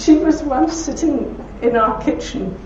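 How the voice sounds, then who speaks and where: A woman with a broad Belfast accent speaking to an audience, telling anecdotes with warmth and laughter. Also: high voice, unhurried, slight background noise. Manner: warm anecdotal storytelling with laughs. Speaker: speaker at a talk. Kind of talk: talk with audience questions. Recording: roomy hall from far off, dull.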